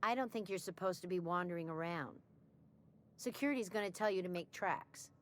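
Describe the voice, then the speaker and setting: An actress voicing a brisk, mercenary woman shopkeeper in a sci-fi video game, with a wry sales manner. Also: on the low side, natural voice. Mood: wry, selling.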